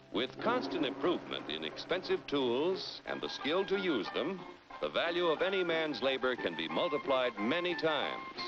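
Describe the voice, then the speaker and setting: An actor playing a confident, didactic American narrator and guide in a 1940s educational cartoon. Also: male voice, a little high. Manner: didactic, persuasive, polished. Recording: muffled vintage film soundtrack, loud music.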